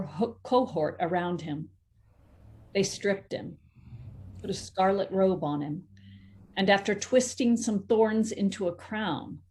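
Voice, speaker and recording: A woman narrating a Bible passage at a church service. Her reading is steady and measured, with an occasional stumble that she corrects. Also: medium pitch, low-quality recording. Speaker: scripture reader.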